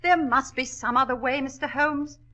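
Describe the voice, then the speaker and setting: An actress playing an anxious, determined widow who owns a mine, earnest and formal in a 1940s radio drama. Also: female voice, high voice, normal speed, pushed and bright, lo-fi sound. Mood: pleading.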